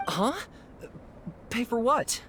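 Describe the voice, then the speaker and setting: A man's voice: extremely high pitch, sing-song, slow pace, sharp tone, slight background noise, heavily acted. A man playing a naive young rookie adventurer in a video game, polite, keen and slightly bewildered. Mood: puzzled, eager. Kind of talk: video game cutscene acting.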